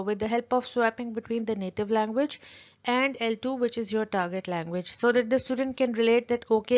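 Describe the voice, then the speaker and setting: A woman's voice: a little high, darkish tone. A woman who speaks Urdu natively gives an explanatory tutorial as a teacher, repeating her points with simple examples. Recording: dull, lo-fi audio.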